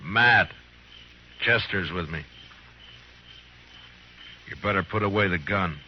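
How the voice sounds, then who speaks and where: An American man playing a weary, duty-bound marshal in an old radio western, speaking tersely and gravely. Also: low voice, slow, a little hiss or hum. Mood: stern, firm. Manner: terse, grave, matter-of-fact. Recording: muffled vintage radio broadcast with music.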